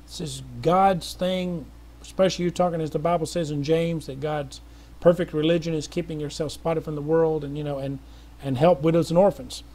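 Middle-aged guy rambling earnestly to the camera, kinda high voice but mellow. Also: steady tone, normal speed. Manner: rambling, earnest, many restarts and fillers. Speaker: missionary making an appeal video. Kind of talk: casual spoken appeal to viewers.

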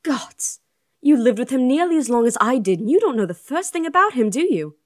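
A woman's voice: high voice, very animated, a little fast, no room sound, studio quality. A woman playing a fiery, grieving young princess and protective twin sister in an audio drama. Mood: indignant. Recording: music under the voice.

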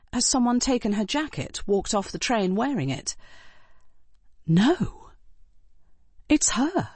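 A woman reading scripted first-person fiction as an audiobook narrator, in an expressive, dramatic way. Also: mid-range voice, breathy edge, no room sound.

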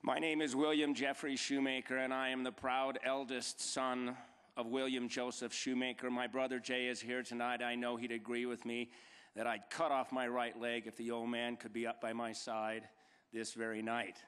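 A man's voice: medium pitch, monotone, moderate pace, fairly bright tone, clear, resonant tone. A middle-aged man giving a formal acceptance speech from a podium, building emphatic rhetorical lists and repeated dates. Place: large banquet hall stage. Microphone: podium microphone. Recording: long reverb of a large hall.

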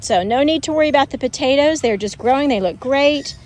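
A woman's voice: high voice, expressive, brisk, a little mellow. A woman leading a school garden tour for children outdoors, pointing things out and explaining plants in a friendly teaching way, recorded on a handheld phone. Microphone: phone camera held by the speaker.

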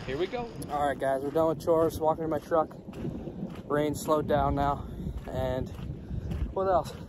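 A man's voice: on the high side, a little slow. A young man casually narrating his farm chores to viewers, filmed on an action camera in barns, a loader cab and outdoors in wind and rain. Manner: easygoing, chatty, addresses viewers as guys. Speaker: dairy farmer vlogger. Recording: loud wind and engine noise on camera mic. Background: loud wind, rain and machinery.

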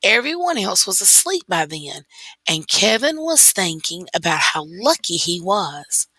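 A woman teacher reading a story aloud slowly and deliberately into a computer microphone. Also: lowish voice, very animated, bright, forceful tone, no echo. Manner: slow, deliberate read-aloud.

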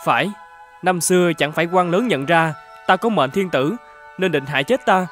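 Man voicing good-hearted young cartoon man, high pitch, earnest delivery, light background music. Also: moderate pace, a bit bright, lightly acted. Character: good-hearted poor young man who becomes king. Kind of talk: cartoon fairy-tale voice acting.

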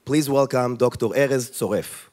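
A man's voice: mid-range voice, normal speed, a little mellow, some chatter behind, slight background noise. A man in his thirties introducing the next speaker into a handheld mic, with hesitations and fillers. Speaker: event moderator.

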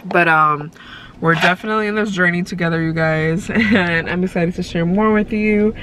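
A woman's voice: fairly low pitch, moderate pace. A congested young woman vlogging in a parked car, chatting in a rambling way with many fillers, recorded on a phone held at arm's length. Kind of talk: casual chat to camera. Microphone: phone camera at arm's length. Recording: faint background noise in a car.

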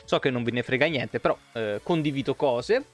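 A man's voice: mid-range voice, brisk, faint music behind. A man in his thirties chatting casually on a live game stream, rambling and swearing freely, close to a desk microphone. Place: small room at home. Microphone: close desk microphone on an arm.

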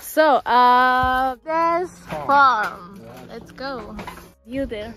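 A woman's voice: high voice, very slow, bright, forceful tone. A young woman vlogging outdoors on a farm in a playful, casual way, laughing often and switching between languages. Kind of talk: casual vlog chat.

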